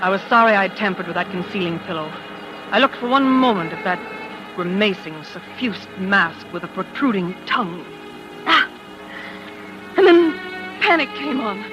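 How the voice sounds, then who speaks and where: An actress playing a shaken young wife who narrates a murder discovery in a hushed, dramatic 1940s radio style. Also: female voice, mid-range voice, expressive, normal speed, pauses often, close to an everyday voice, rough recording. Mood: panicked, horrified. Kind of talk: 1940s radio acting, first-person suspense narration. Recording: old radio recording with music underneath.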